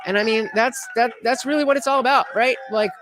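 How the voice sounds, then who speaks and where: A man hosting a live stream panel, halting with many restarts and fillers, reading chat comments aloud. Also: very high-pitched, a bit bright, a little hiss or hum. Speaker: host.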